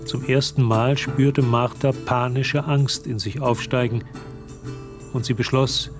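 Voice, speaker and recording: A man's voice: medium pitch, steady tone, slow pace, music in the background. A man reading scripted literary narration for a German radio drama. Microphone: close, dry studio microphone.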